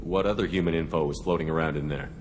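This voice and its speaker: An actor playing a steady human military commander in a video game, asking direct, calm, probing questions in a businesslike way. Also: male voice, rumbling low, fast, noisy background. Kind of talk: video game dialogue acting.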